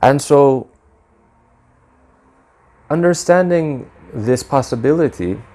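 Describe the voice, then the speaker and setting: A man, a Sufi practitioner, giving measured, explanatory interview answers, dropping in Arabic religious terms. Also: mid-range voice, lively intonation, warm tone. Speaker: guest, Mevlevi Sufi practitioner.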